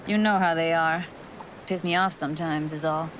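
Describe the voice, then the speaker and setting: An actress playing a wry, guarded young American street techie in a video game, with slangy, clipped talk. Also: female voice, low-pitched, steady tone, normal speed, noisy background, lightly acted. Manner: slangy, clipped, teasing, breaking into breathy asides. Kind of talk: video game dialogue acting.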